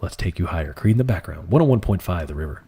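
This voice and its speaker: A man hosting a live stream, chatting casually and calmly while answering viewer comments in a soft, laid-back way. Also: low-pitched, rapid-fire, gravelly. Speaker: livestream trading host.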